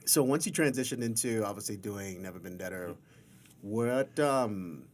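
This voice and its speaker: A man's voice: fairly low pitch, lively intonation, normal speed, crowd noise. A man hosting a casual interview, asking easygoing, encouraging questions. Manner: easygoing, laughs along, encouraging. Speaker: interviewer, channel host.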